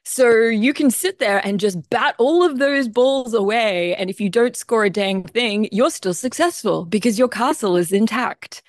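A woman's voice: on the high side, expressive, unhurried, few pauses, no echo, studio quality. A middle-aged Australian woman, a composer guest, explaining things emphatically with frequent laughter in a casual interview.

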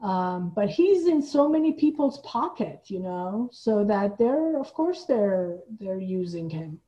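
Slightly dull-sounding, mellow woman in conversation. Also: medium pitch, very animated, slow pace. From Iran, partly raised in the Boston area. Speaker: podcast guest, playwright and artistic director. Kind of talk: casual political conversation.

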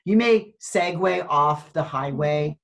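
A woman's voice: deep voice, slow, gravelly. A woman in her sixties, a coach and podcast guest, talking earnestly and reflectively about purpose, often restarting her sentences. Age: 60s. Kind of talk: casual spiritual conversation.

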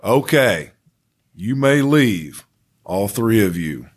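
A stern school principal played by a man, with a lowish voice and a slow, deliberate delivery. He is firm and no-nonsense, in the style of amateur radio theater. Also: big pitch swings, slightly rough, exaggerated voice. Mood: questioning, firm.

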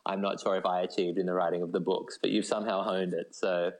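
Aussie bloke as podcast guest, slightly deep voice, casual and self-deprecating. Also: male voice, little pitch movement, fast talker. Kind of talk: casual conversational chat.

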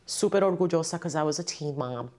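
Middle-aged woman reflecting, slightly low voice. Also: quick pace, a little mellow. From Puerto Rico-born, grew up in western Massachusetts from age two. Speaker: interview guest, mother and community organizer. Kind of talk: personal, reflective interview talk.

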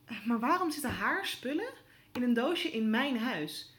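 A woman's voice: fairly high pitch, very animated, normal speed, fairly bright tone. An actress playing an eager young woman reporter in an audio drama, hesitant and polite but persistently probing. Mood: probing, puzzled. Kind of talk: naturalistic audio drama dialogue.

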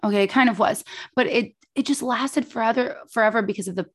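A woman's voice: a little high, fast talker. A woman with a scratchy voice co-hosting a podcast in casual chat, chatty and self-deprecating. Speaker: podcast co-host.

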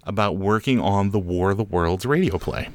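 A man's voice: sits low, moderate pace. A man presenting podcast interviews in a casual, mock-formal chatty style. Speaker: podcast host introducing interviews.

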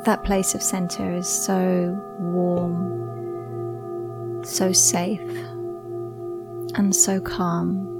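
Female meditation guide: very unhurried, soothing, hushed delivery in short spaced phrases, over prominent singing bowl tones. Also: lowish voice, level tone, gravelly, music in the background. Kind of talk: slow guided meditation narration. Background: ringing Tibetan singing bowls.

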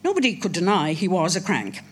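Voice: medium pitch, big pitch swings, brisk, slight background noise. A speaker from Ireland, giving a prepared talk in a wry, emphatic manner with ironic asides. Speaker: speaker on a writer. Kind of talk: prepared talk, read aloud.

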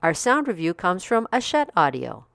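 A woman's voice: lowish voice, big pitch swings, moderate pace, gravelly, a bit bright, no room sound, clean studio sound. A woman hosting a review show, delivering scripted narration. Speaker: host of audiobook review podcast.

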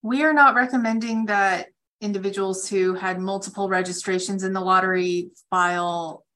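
A woman speaking as an attorney, answering callers' questions in a measured, matter-of-fact, explanatory way. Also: mid-range voice, fairly even intonation, sharp tone. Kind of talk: advisory question-and-answer on a conference call.

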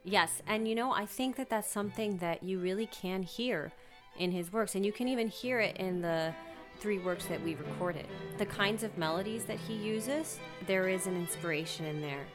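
A woman's voice: mid-range voice, moderate pace, music in the background. A woman speaking as a guest in a conversational interview, reflective and earnest, thinking aloud as she goes.

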